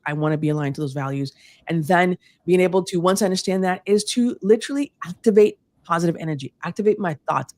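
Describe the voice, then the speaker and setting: A woman's voice: on the low side, darkish tone, dry recording. A woman from Puerto Rico whose first language is Spanish, giving earnest interview answers full of restarts and "you know", with Spanish phrases mixed in. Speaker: guest speaker.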